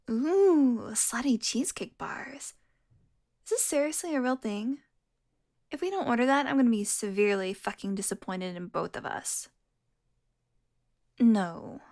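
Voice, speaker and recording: A seductive vampire, acted by a woman, speaking close and intimately to a single listener. Her fairly high voice moves slowly and often turns breathy and whispery. She teases smugly, with a tender streak toward her prey, in the manner of an audio roleplay. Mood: playful, flirty. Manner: breathy whispers, slow sultry teasing.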